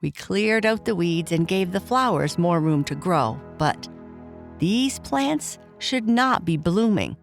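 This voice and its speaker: A woman voicing a gentle, reassuring but sceptical mother in a children's bedtime story. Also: on the low side, expressive, slow, faint music behind. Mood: awed.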